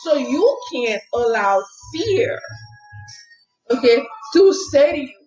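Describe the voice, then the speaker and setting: A woman with a fairly high voice tells her story in a casual motivational talk, animated and full of little "okay?" check-ins. Her English slips now and then in its grammar. The recording is dull and lo-fi, with a faint hiss underneath. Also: slow. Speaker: healer and speaker. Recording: dull lo-fi sound with faint noise.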